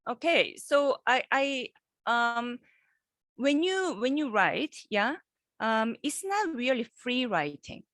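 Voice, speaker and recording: A woman professor giving a guest lecture over a video call, halting and repeating words, often checking in with her listeners. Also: fairly high pitch, sing-song, fairly bright tone, dry recording, clean studio sound. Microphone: computer microphone on a video call.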